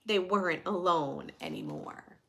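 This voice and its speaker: A woman's voice: lowish voice, very animated, unhurried, creaky, a bit bright. A woman reading a storybook aloud to young children, expressively, with chatty, questioning asides to her listeners. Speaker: children's story reader and host.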